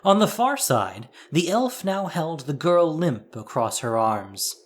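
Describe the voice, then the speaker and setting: A man's voice: on the high side, very animated, takes it slowly. A man narrating a scripted audio drama in a dramatic storytelling style.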